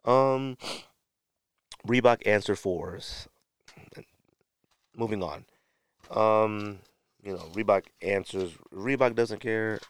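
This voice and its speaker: A middle-aged American man with a fairly low voice talks casually as a solo podcast host. His delivery is slangy and emphatic, circling back on his points. The sound is close and dry. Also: slow, long pauses, clear, resonant tone, no echo. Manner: conversational, slangy, emphatic repetition.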